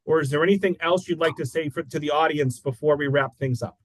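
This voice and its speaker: A man hosting a library author interview over a video call, asking questions in a halting way full of fillers. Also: on the high side, no echo. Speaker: library event host.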